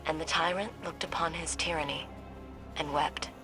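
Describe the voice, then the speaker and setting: Thoughtful sci-fi game heroine, kinda low voice, reflective and earnest, music playing behind her. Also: fairly even intonation, normal speed, low-quality recording. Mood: solemn storytelling. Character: earnest, thoughtful female sci-fi ally guiding a soldier by comms. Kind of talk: scripted video game dialogue and storytelling. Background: prominent music.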